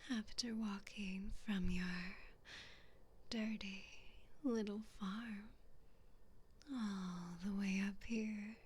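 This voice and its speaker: A woman's voice: medium pitch, slight background noise. A woman playing a cruel, mocking evil queen in an ASMR roleplay, whispering breathily in a condescending, commanding way. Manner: slow, condescending, commanding, possessive.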